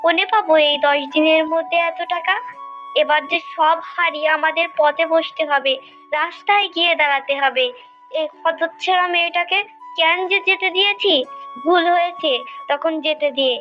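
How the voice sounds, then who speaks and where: A woman's voice: extremely high pitch, steady tone, normal speed. A woman voicing an anxious, scolding village mother, a poor farmer's wife, in a cartoon. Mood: worried, scolding. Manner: fretful, nagging. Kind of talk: Bengali moral-story cartoon dubbing. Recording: muffled audio with background music.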